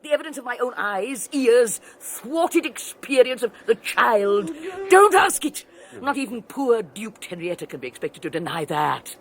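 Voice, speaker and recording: Henrietta, a sneering tyrant wife. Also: female voice, fairly high pitch, big pitch swings, measured pace. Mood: scornful. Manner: theatrical, sneering, cackling laughter. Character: domineering wealthy wife, cruel, mocking, triumphant. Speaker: actress in radio drama. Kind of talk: 1980s radio drama acting.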